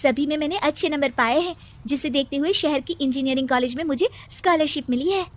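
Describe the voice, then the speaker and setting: A woman's voice: high-pitched, quick pace, close to an everyday voice. A woman voicing an earnest, hopeful young village girl student in a cartoon storybook.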